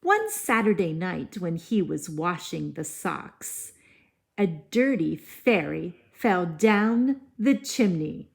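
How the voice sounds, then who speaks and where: A woman teacher reads a picture book aloud to young children. Her delivery is very unhurried and expressive, with wide swings in pitch and simple explanatory asides. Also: creaky. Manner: deliberate, animated, simple child-directed phrasing.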